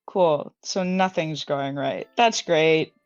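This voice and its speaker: A woman's voice: sits low, slow, clean tone, no echo, faint music behind. A woman playing a tabletop game, talking emphatically, laughing often and swearing. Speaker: player. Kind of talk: casual tabletop roleplay. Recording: dry, dull lo-fi audio.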